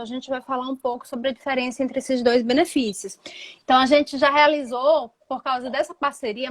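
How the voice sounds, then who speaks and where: A woman lawyer hosting a live stream over a video call, explaining things step by step and checking in with tag questions. Her voice is noticeably high.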